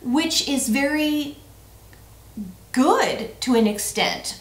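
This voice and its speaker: A woman's voice: mid-range voice, a bit bright. A woman in her forties chatting casually as a vlog co-host, playful and emphatic, often repeating her words. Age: 40s. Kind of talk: casual chat, answering tag questions. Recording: roomy, echoey sound.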